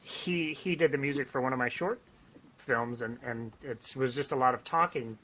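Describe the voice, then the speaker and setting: A man talking casually as a writer on a podcast, rambling and self-deprecating in an animated way. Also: mid-range voice, moderate pace, a crowd in the background, poor audio. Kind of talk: casual podcast chat about writing. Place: home office, on a remote call.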